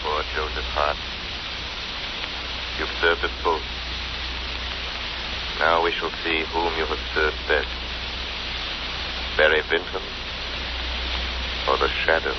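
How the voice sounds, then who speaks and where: An actor playing a mysterious, stern avenger in 1930s radio drama style, speaking in an ominous, deliberate, commanding way. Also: male voice, very deep voice, little pitch movement. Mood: grave, ominous. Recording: hissy, muffled old radio broadcast.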